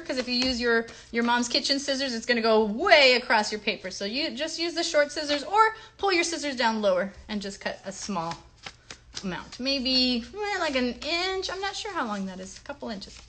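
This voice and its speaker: A woman with a high voice and very animated intonation hosts a children's craft livestream. She talks casually and encouragingly, checking in with short tag questions. Some noise sits behind her voice. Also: normal speed. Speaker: children's show host and craft teacher.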